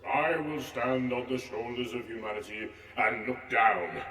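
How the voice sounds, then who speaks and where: A man's voice: mid-range voice, unhurried, bright, forceful tone, a little hiss or hum. A man playing a mad, vain, forgetful old king in a comic audio drama, rambling and pompous. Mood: grandiose. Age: older. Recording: echoey hall, far from the mic.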